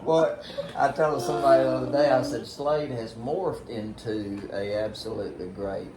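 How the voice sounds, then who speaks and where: A man's voice: mid-range voice, normal speed, a crowd in the background. An older man with a Southern US accent telling stories casually in a folksy, rambling way. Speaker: guest, veteran hunter telling stories. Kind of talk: casual storytelling chat. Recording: roomy echo with clear background noise.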